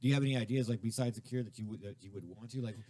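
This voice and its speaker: A man's voice: medium pitch, rapid-fire, warm tone, no room sound. A middle-aged man hosting a radio show from a studio, chatting casually with stumbling restarts and easy swearing. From Southern California. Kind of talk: casual radio interview chat.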